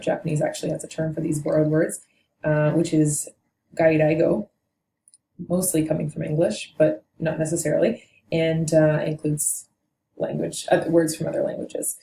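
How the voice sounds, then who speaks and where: A woman's voice: deep voice, creaky, dark tone. A woman teaching a linguistics lesson, explaining patiently and correcting herself now and then. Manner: measured and explanatory, with occasional self-corrections. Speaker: language teacher. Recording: echoey room with faint noise.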